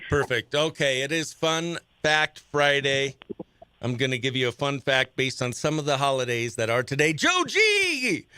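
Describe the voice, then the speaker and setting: A man's voice: medium pitch, big pitch swings, normal speed, bright, forceful tone, clean tone, dry recording. A man hosting a live morning radio show, chatting exuberantly and slangily as he runs giveaways and phone-in games.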